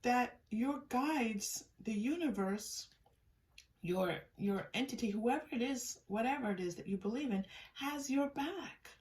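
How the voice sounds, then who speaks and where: A woman giving a pick-a-card tarot reading in a soft, unhurried, musing way, often trailing off and restarting, and thanking unseen guides. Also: a little high, animated, far from the mic. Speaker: tarot reader.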